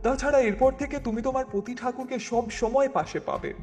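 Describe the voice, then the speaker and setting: A man acting an anxious, high-voiced schemer. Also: expressive, moderate pace, darkish tone, faint music behind. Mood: forced joviality. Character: anxious, scheming man feigning friendly ease. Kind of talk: audiobook dramatized dialogue.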